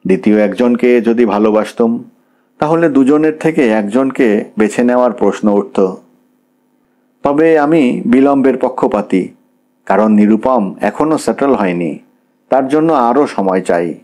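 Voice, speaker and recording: A man's voice: medium pitch, expressive, a little slow, dark tone, lightly acted. A man voicing a picky, outspoken young woman with a frank, witty, mocking manner. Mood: frank, firm.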